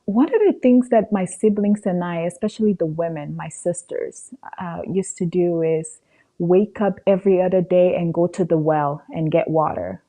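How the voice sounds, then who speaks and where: A woman from Cameroon speaks as an interview guest in a low, very mellow voice. She tells a personal story conversationally, retelling exchanges in quoted dialogue. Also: low-quality recording. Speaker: podcast guest.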